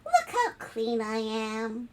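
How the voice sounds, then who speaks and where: A woman's voice: on the high side, huge pitch swings, slow pace, full character voice. A woman voicing a lonely little spider from a children's storybook, eager and pleading, longing to be a pet. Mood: eager boasting.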